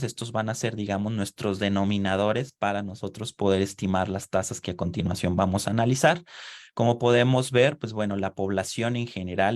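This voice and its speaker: A man with a notably low, deep voice presents his research at an online seminar. He speaks haltingly, often pausing on filler sounds and restarting his phrases. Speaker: conference presenter.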